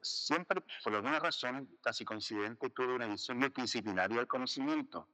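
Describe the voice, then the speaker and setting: A man's voice: mid-range voice, a little fast, sharp tone. A man from Chile with a Chilean accent, a jurist and philosopher answering interview questions thoughtfully, with many fillers. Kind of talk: casual interview talk. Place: outdoors in a city neighborhood.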